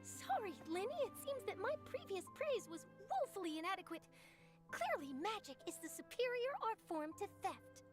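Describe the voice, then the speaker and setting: A woman's voice: extremely high pitch, sing-song, moderate pace, over music. A woman voicing a polite, inquisitive young journalist in a game, formal and precise. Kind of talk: game cutscene voice acting.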